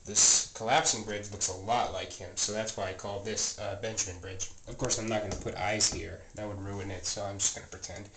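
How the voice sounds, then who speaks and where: A man's voice: on the low side, fairly even intonation, a little fast, hardly any pauses. A man with a stuffy, scratchy voice giving a rambling, casual tour of his train room, full of fillers and restarts. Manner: rambling, many fillers and self-corrections. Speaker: toy train vlogger. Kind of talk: casual show-and-tell narration. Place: home train room, indoors. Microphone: handheld camera mic, filming close.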